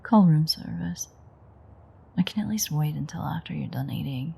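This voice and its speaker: A playful, dangerous vampire woman, performed by an actress murmurs in an intimate audio drama. Her voice is dark and very husky, with a gravelly creak. She teases wryly, often dropping close to a whisper. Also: low-pitched, expressive, moderate pace, full character voice. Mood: playful, menacing. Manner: teasing, wry, murmured. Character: teasing, playful ancient female vampire, flirtatiously menacing.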